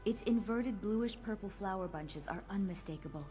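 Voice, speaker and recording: Woman doing a young alchemist girl in a game, formal and kinda creepy, soft music behind. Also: medium pitch, natural voice. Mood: earnest. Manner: measured, eerie formal delivery. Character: ambitious young woman alchemist, morbidly fascinated. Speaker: game character.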